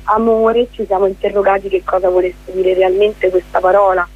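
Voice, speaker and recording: A woman's voice: medium pitch, little pitch movement, normal speed, narrow, tinny audio, slight background noise. A woman speaking as a guest theatre director in a conversational radio interview, explaining things discursively.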